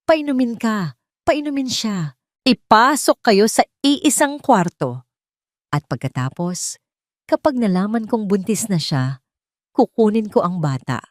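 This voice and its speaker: Woman acting a scheming, obsessive Filipina, pitched up. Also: lively intonation, a little slow, long pauses, no room sound, studio quality, natural voice. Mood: cold, boastful. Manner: emphatic, theatrical.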